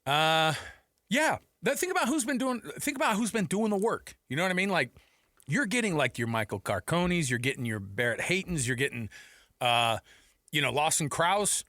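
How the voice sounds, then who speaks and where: A man hosts sports talk radio with a bright, forceful voice that sits a little high. His delivery is lively and swings around a lot, playful and informal, on a close, dry studio mic. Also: normal speed, no room sound. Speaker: sports radio show host. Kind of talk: casual sports talk banter.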